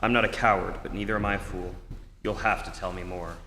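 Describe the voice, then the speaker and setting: A man playing a wary, rich gentleman in an old-time radio serial, cool, firm and politely suspicious, on a theatre stage. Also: low-pitched, fairly even intonation. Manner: measured refusals, polite but suspicious. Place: theatre stage in a live performance. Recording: echoey hall, hum and clear noise.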